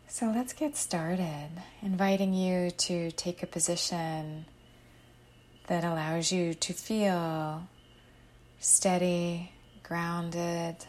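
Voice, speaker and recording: A woman narrating a guided meditation in a soothing, unhurried, gently instructive manner, letting her phrase endings fall softly. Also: on the low side.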